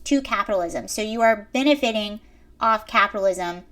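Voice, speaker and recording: A young woman with a high-pitched voice giving emphatic commentary straight to her viewers. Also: normal speed, a bit bright. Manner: emphatic, lecturing, addresses viewers directly. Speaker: commentary host. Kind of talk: reaction commentary to camera.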